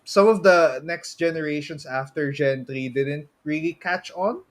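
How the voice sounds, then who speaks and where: Male podcast host, slightly high voice, Filipino accent, conversational, drops Tagalog particles into English. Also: measured pace. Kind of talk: casual podcast chat.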